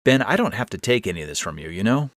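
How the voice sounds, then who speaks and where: A man's voice: fairly low pitch, very animated, fast talker, a bit bright, no room sound, clean studio sound. A man playing a resentful, sarcastic adult stepson in a horror audio story, curt and defiant in manner. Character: resentful, sarcastic grown stepson, a disgraced drifter.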